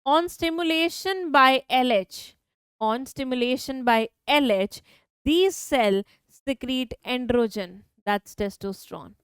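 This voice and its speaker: High-voiced woman teaching biology. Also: slow, smooth, dry recording. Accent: Indian English. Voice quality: high-pitched voice. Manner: asks questions then answers them herself. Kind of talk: online exam-prep lecture.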